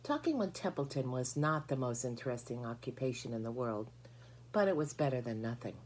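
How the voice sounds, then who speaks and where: Low-pitched woman reading a children's story. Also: expressive, a little fast, a little mellow, a little hiss or hum. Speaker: storybook reader. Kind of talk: scripted children's story narration.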